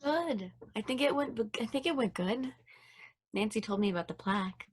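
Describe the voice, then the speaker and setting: A woman acts a curious young space probe in a stage play reading. The voice is fairly high and husky. The manner is earnest, quiet and hesitant. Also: lively intonation, moderate pace. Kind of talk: stage play table read.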